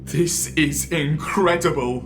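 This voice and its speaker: A man playing an excitable, cheeky young ghost hunter in an audio drama, chatty, eager and teasing. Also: a little high, slow, over music. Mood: thrilled. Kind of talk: naturalistic audio drama acting.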